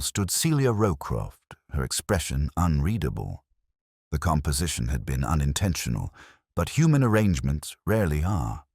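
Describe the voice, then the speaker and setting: An actor playing a melancholy, wry middle-aged police inspector, narrating a detective story in a measured, literary manner with deliberate pauses. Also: male voice, rumbling low, breathy edge, no echo, studio quality, heavily acted. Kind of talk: scripted first-person detective narration.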